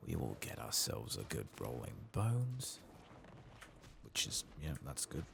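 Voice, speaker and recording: A man giving soft-spoken ASMR commentary over a video game, with understated asides to himself, close to the microphone. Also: very deep voice, wildly swooping pitch, measured pace, gravelly, background noise.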